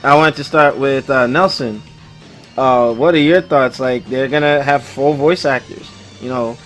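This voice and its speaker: A man hosting a casual group chat show, speaking in an informal, slangy and excitable way. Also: medium pitch, unhurried, soft background music. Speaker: host.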